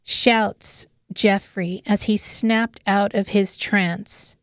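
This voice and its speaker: A woman with a smooth, clear voice giving scripted dramatic narration of an audiobook. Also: medium pitch, fairly even intonation, very slow, no room sound, studio quality.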